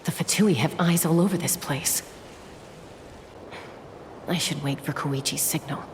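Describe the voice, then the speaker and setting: A calm, low-voiced scout. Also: female voice, measured pace, a little hiss or hum. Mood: focused.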